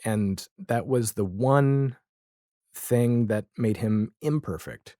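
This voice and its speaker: A man speaking as a podcast guest in a casual, reflective conversation, with halting, thoughtful phrasing. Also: mid-range voice, mellow, dry recording, studio quality.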